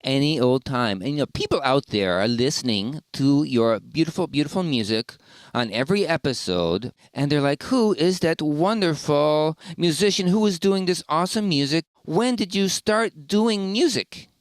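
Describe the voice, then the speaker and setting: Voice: medium pitch, animated, normal speed, no echo. A podcast host chatting casually with a guest in a playful, gushing way. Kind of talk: casual interview chat.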